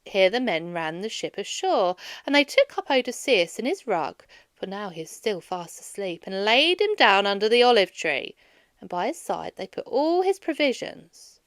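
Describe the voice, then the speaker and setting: A woman reading a children's story aloud in an expressive storyteller's way, dramatizing as she goes. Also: medium pitch, moderate pace, no echo, clean studio sound. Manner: animated, dramatizes dialogue.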